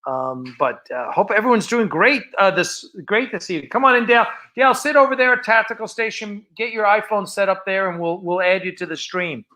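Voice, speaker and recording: A man's voice: high-pitched, a little fast, few pauses, pushed and bright. A man hosting a casual livestream, chatting in a rambling, informal way. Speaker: host of a fan production livestream. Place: film set of a starship bridge.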